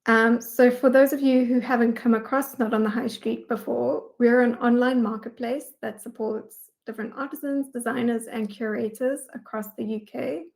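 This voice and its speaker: A South African woman presents as a guest speaker on a webinar. Her voice is fairly high with a strong creak, and her delivery is prepared yet informal and conversational. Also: fairly even intonation, moderate pace, darkish tone. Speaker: guest speaker, data engineering manager.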